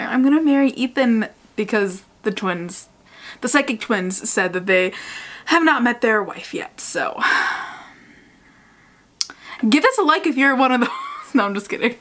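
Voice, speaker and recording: A woman's voice: fairly high pitch, fairly bright tone. Bubbly young woman reacting to a video. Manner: gushing, bubbly, swears casually. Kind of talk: casual reaction commentary.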